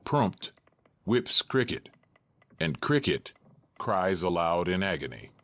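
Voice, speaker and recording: A man's voice: low voice, big pitch swings, takes it slowly, a bit bright, no echo. A man narrating a scripted audio drama as its storyteller.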